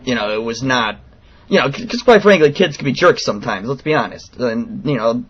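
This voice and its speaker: A man in his late twenties making an earnest, persistent complaint over the phone in a halting, informal way on a lo-fi recording. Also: mid-range voice, lively intonation, breathy edge. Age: late 20s. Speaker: radio show host calling in a complaint. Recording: dull, lo-fi phone call recording.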